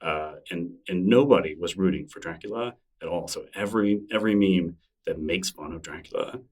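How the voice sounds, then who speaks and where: A man with a very low voice talks in an easy, enthusiastic way during an interview, often breaking into laughter. He sits a little back from the microphone. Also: a little fast, distant mic. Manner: chatty, enthusiastic, laughs easily. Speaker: podcast guest, newsletter creator. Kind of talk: casual interview conversation.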